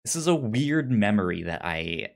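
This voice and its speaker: A man chatting casually as a podcast co-host, with a frequent stutter that repeats sounds and words and a halting, rambling delivery. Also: on the low side, sing-song.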